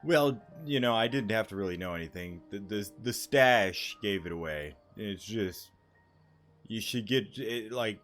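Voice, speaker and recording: Male gaming streamer, lowish but bright, forceful voice, offhand slangy asides. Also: expressive, a little slow, faint music behind. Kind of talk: casual gameplay commentary.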